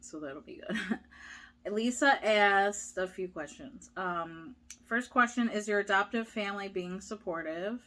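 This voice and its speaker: A woman's voice: medium pitch, unhurried, bright, forceful tone. A young woman vlogger chatting casually, reading viewer questions aloud and answering with many fillers. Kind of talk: casual chat.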